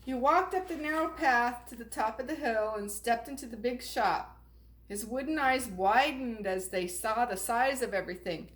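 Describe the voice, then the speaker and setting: A woman reading a children's storybook aloud in an expressive, unhurried way. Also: fairly high pitch, poor audio. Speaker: storybook reader.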